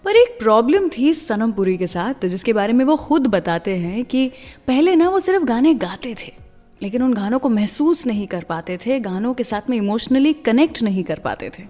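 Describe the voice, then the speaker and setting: A woman's voice: a little high, very animated, moderate pace, warm tone, long reverb tail. An Indian woman presenting a scripted radio show, telling stories and addressing listeners directly, mixing in English phrases. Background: music.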